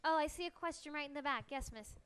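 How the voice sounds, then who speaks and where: A woman's voice: high-pitched, fast talker, a bit bright. A woman co-hosting a live cooking demonstration, teasing the chef and asking him questions on behalf of the audience. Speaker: party planner co-hosting the demo. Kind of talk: playful audience banter.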